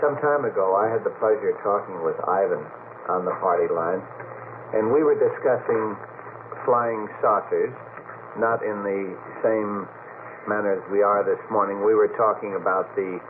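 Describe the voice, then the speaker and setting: A man hosting a late-night radio talk show in a polite, measured manner. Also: on the low side. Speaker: radio host. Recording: tinny old radio broadcast, faint noise.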